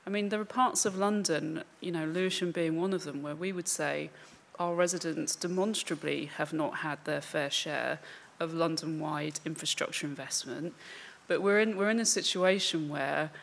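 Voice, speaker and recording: Woman, lowish voice, council panelist, earnest and measured, faint hiss behind. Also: creaky. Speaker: panelist working in a local council. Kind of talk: conference panel discussion.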